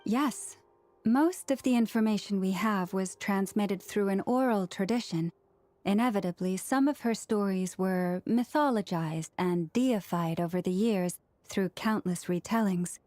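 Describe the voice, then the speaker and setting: A woman's voice: mid-range voice, a little slow, a little mellow, dry recording, natural voice. A woman playing a composed, earnest, scholarly priestess in a video game, speaking formally and precisely. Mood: earnest, measured. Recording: music behind the voice. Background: background music.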